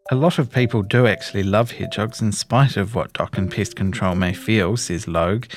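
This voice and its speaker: A man's voice: on the low side, darkish tone. A man reading a scripted news feature as narrator.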